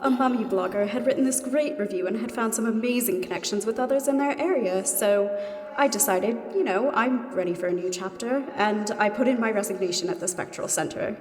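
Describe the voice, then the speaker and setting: A woman's voice: on the high side, a little fast, a little mellow. Echoing, chatty ghost woman. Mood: upbeat. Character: giddy, chatty two-hundred-year-old female ghost in love. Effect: ghostly reverb. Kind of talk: mock dating testimonial in comedy audio drama. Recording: long echoing reverb on the voice.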